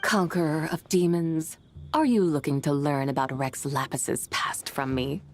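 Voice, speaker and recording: A woman's voice: sits low, steady tone, measured pace, soft background music. A woman voicing an ancient, genteel immortal lady in a video game, elegant and teasing, with archaic turns of phrase. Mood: teasing, lofty. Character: ancient adeptal woman, genteel, playful and enigmatic.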